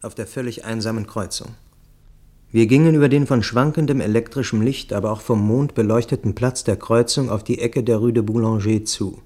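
A man acting as a grave witness in a literary radio play, recounting dark events in the first person. He speaks deliberately, with a medium-pitched voice and a measured, literary delivery. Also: steady tone, lightly acted. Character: grave male witness recounting a deadly night attack.

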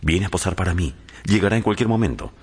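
An earnest, admiring painter in a radio drama. His voice is low and very husky, rough at the edges. It rings in a long hall reverb. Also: expressive, quick pace, darkish tone. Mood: earnest admiration. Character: earnest male painter devoted to his young muse.

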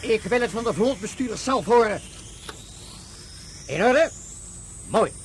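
High-pitched commanding fleet leader. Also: male voice, expressive, a little slow, husky, full character voice. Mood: brisk, commanding. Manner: clipped, authoritative orders. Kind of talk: radio drama acting.

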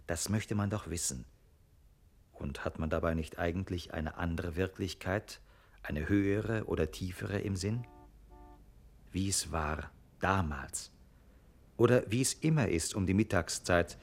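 A reflective radio play narrator with a low voice. He muses aloud and turns his telling into questions, as if thinking it through. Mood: questioning. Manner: musing, rhetorical questioning.

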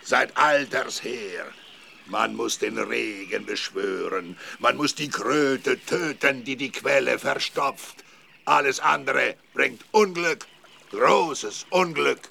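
Gravelly, menacing medicine man. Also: medium pitch, slow, sharp tone, slight background noise, full character voice. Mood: ominous. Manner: incantatory, pompous, threatening. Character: angry, menacing African village medicine man chanting spells. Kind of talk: German children's audio play acting.

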